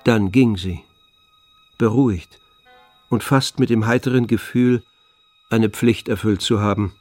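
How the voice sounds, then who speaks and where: A man narrating a literary radio play from a script in a measured, solemn manner. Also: lowish voice, darkish tone, no echo, soft background music.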